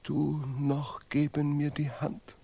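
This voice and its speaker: Male pompous, excitable scholar for radio play; dragging delivery, high register, broken grammar. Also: vocal fry, husky, heavily acted. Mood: feeble, dying. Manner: declamatory, chopped phrases in deliberately broken grammar. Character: second pompous male laureate scholar, zealous, sentimental, excitable. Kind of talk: absurdist 1970s German radio play.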